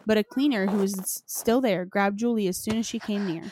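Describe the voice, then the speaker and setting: A woman's voice: mid-range voice, fairly quick, darkish tone. Female host, mid-range voice, for dramatic readings and playful casual talk; slightly noisy recording with clicks. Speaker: podcast host.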